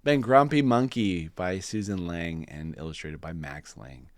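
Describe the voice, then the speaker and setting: A man reading a children's storybook aloud in animated narration with casual asides, hosting as he goes. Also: sits low, unhurried, no echo, studio quality. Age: adult parent. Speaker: storybook reader and channel host.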